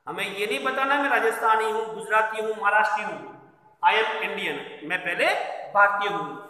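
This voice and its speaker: A man teaching a literature lesson, repeating his key phrases so they sink in. His voice sits high and sounds bright and sharp. He stands well back from the mic, and the large room rings with a long, echoing reverb. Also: normal speed. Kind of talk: Hindi literature lesson explanation. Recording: very echoey hall, far from mic.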